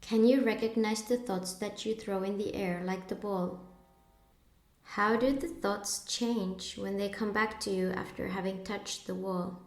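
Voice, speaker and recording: A woman narrating a guided meditation in a measured, instructive way, pausing between short phrases. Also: medium pitch, steady tone, roomy sound.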